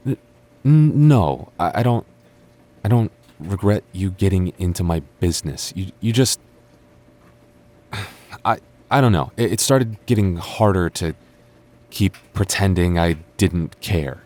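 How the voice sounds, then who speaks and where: A man's voice: deep voice, lively intonation, measured pace, a little mellow. A man playing a defensive, teasing young childhood friend with a secret crush, stammering and bickering in an intimate boyfriend roleplay. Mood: flustered, earnest. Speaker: ASMR roleplay voice actor.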